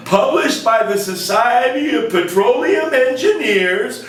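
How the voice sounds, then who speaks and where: Very high-pitched, smug puppet bureaucrat. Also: male voice, animated, fairly bright tone, exaggerated voice. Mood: smug, boastful. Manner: giggly, drawn-out, flippant delivery. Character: gleefully dismissive puppet bureaucrat, smug man of state agency. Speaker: agency spokesman in satirical skit. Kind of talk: exaggerated satirical puppet-show acting. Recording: very echoey room, far from microphone.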